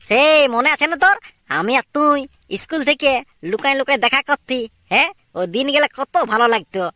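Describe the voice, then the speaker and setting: A man's voice: extremely high pitch, animated, brisk, sharp tone, no echo, studio quality, full character voice. A man with a Purulia Bengali accent voicing a cocky, scheming young secret lover in an amateur cartoon, with an insistent, plotting manner.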